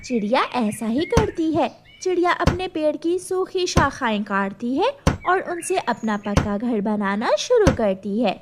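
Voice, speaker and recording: High-pitched woman narrating a children's story. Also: big pitch swings, a little slow, few pauses, noisy background.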